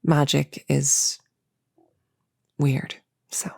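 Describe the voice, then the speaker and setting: A woman playing a nervous, eager young novice witch in an ASMR roleplay, speaking softly and hesitantly, often near a whisper, close to the mic. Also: low-pitched, completely flat delivery, creaky, no room sound, exaggerated voice. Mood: eager instructing. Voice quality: soft, often dropping into whisper. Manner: halting, soft-spoken, hesitant and reassuring. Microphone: close-up mic in a dry, quiet space.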